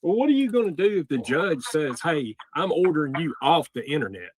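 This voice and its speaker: A man's voice: on the high side, very animated, a little fast, background noise. A man hosting a casual livestream, asking probing questions in an informal way. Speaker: host of a livestream taking calls from jail. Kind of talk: casual livestream interview chat.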